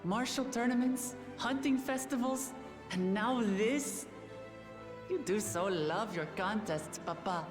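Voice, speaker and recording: A woman's voice: medium pitch, animated, slow. An actress playing a king's grown daughter in a video game, teasing and affectionate. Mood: teasing, fond. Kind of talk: scripted video game voice acting. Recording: echoing hall under game music.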